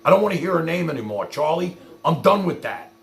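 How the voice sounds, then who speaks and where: Exasperated, gravelly, high-pitched man ranting. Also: big pitch swings, fairly quick. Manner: profane, exasperated, confrontational; addresses viewers directly with repeated rhetorical questions. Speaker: livestream host. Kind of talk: ranting livestream monologue to viewers.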